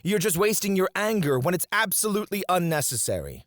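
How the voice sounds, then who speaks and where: A man's voice: sits high, big pitch swings, fairly quick, fairly bright tone, no room sound, studio quality. A man playing a cocky, disdainful royal alchemist in a scripted fantasy audio roleplay, speaking in a formal, haughty, theatrical way. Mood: exasperated. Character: cocky, disdainful male royal alchemist, sharp-witted and proud. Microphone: close, dry studio mic.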